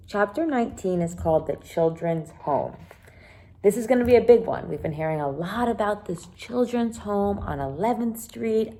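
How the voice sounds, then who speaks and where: A woman's voice: medium pitch, darkish tone. A woman teacher reading a children's book aloud and explaining it simply, with dramatic emphasis. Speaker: elementary teacher.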